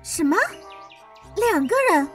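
A woman's voice: very high voice, expressive, slow pace, faint music behind, exaggerated voice. A woman playing a smitten, hesitant young landlady in an audio drama. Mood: dismayed.

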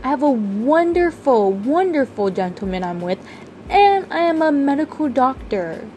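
A woman's voice: sits high, sing-song, normal speed, darkish tone, slight background noise. A woman voicing a capable, assertive grown daughter who works as a doctor, in an amateur roleplay drama. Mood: hurt, firm. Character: capable, busy grown daughter, a doctor, hurt but forgiving.

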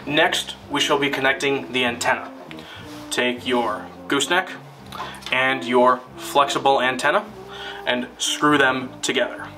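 A man narrating a scripted instructional film in a deliberate, formal, step-by-step manner. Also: medium pitch, fairly even intonation, recorded from a distance, over music, low-quality recording.

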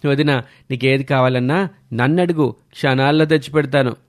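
A man voices an eager young man in a cartoon family tale. He is affectionate and teasing, with a mid-pitched voice. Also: normal speed, lightly acted. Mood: cheerful. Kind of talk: scripted cartoon dialogue.

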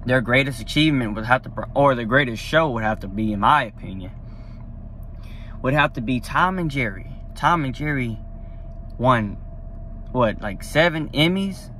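A man's voice: mid-range voice, normal speed, a bit bright, a little hiss or hum. A young man talking casually to a phone camera from a parked car, rambling and enthusiastic with lots of fillers. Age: young adult. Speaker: vlogger. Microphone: phone at arm's length.

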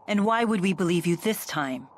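A woman acting a proud, stern young female spirit lord in a video game dub, speaking in a formal, measured way. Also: fairly low pitch, lively intonation.

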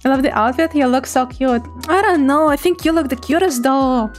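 Young woman VTuber, high-pitched, giggly and playful, casual chat with viewers at a home desk mic. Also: brisk, faint music behind. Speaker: VTuber streamer. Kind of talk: casual chat reading chat messages.

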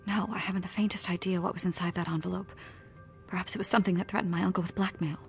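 An actress playing an earnest, anxious young woman in a pulp thriller audio drama, speaking in a breathy, hesitant half-whisper. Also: lowish voice, steady tone, very fast, faint music behind. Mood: earnest, secretive. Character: earnest, anxious young woman pleading her case to police.

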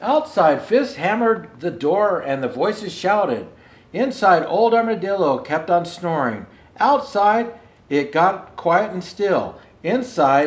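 An older man reads a children's storybook in an expressive, unhurried way. His voice sits a little high for a man, the pace is slow, and the room adds noticeable echo. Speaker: storyteller dressed as Santa Claus. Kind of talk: reading a children's picture book aloud. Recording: roomy indoor echo.

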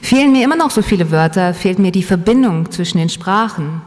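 A woman's voice: lowish voice, lively intonation, a little fast, a little mellow. A woman whose first language is Russian reads her own essay aloud in a reflective manner, with asides to a live audience. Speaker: author giving a talk. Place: large hall with a live audience. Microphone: table microphone, seated at a panel table. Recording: long hall reverb.

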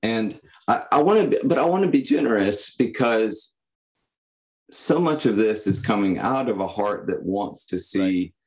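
Young guy with a really low voice on a podcast, thinking out loud, kinda halting. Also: expressive, low-quality recording. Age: young adult. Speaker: podcast guest. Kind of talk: reflective personal interview answers. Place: indoor room, on a video call. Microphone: condenser microphone.

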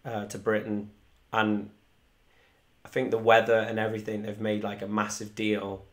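Young male musician hosting a live stream, rambling and chatty, fairly monotone, desk mic at home. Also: fairly low pitch, moderate pace. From Wales. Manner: chatty, rambling, reads viewer comments aloud. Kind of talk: casual live stream chat. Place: small room indoors at home. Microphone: desk microphone in front.

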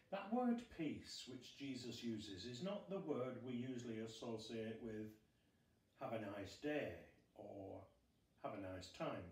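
A middle-aged man giving a short sermon from notes as a chaplain, in a measured, deliberate way with pauses. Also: on the low side, darkish tone. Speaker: school chaplain. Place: small glazed conservatory at home. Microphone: phone camera a few metres away. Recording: roomy, distant phone recording.

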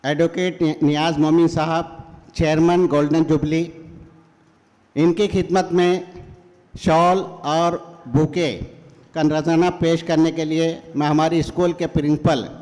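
A man's voice: fairly high pitch, darkish tone. An older man acting as emcee at a school celebration, introducing guests in a formal, courteous tone at a podium mic. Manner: measured, courteous, reads from notes. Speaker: event emcee. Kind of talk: formal Urdu stage announcing. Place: large hall, at a podium. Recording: reverberant hall.

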